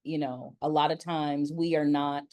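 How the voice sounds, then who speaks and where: A woman's voice: low-pitched, little pitch movement, moderate pace, a little mellow, a little hiss or hum. An American woman speaking as an interviewer in conversation, emphatic and repeating phrases to make her point. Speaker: interviewer and voice-acting community leader.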